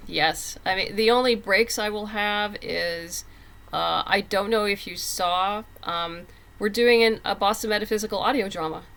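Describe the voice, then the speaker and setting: Bright-voiced woman chatting in an interview. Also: mid-range voice, normal speed. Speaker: podcast guest, comics writer. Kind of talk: casual interview chat.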